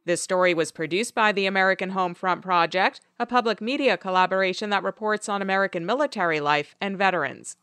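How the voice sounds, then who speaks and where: A woman announcer reading a scripted, measured introduction to a radio feature. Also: medium pitch, a bit bright, clear, resonant tone, dry recording.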